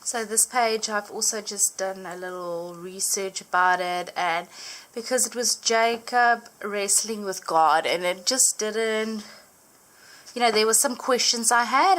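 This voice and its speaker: A young woman whose first language is Afrikaans, chatting casually as she flips through her journaled Bible, full of little fillers and gentle enthusiasm. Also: mid-range voice. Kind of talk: casual show-and-tell narration. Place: indoors at a table, hands over an open book.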